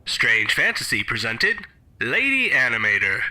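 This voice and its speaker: A man's voice: medium pitch, wildly swooping pitch, unhurried, pushed and bright, rough recording. A man reading scripted credits as the announcer of a show.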